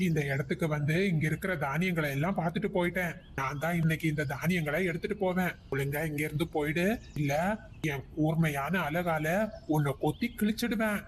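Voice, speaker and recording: Cartoon crow, played by a man: menacing bully, higher-set male voice, faint noise behind. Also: a little fast, close to an everyday voice. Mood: threatening. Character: bossy, threatening male crow, greedy for grain. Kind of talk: cartoon moral-story voice acting.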